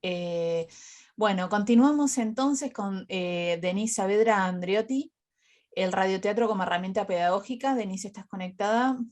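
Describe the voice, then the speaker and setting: Mid-pitched woman moderating a session. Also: normal speed, darkish tone. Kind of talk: informal spoken moderating.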